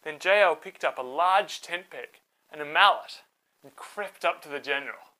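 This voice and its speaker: A woman narrating a scripted children's Bible story in a dramatic, expressive way. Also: deep voice, moderate pace, low-quality recording.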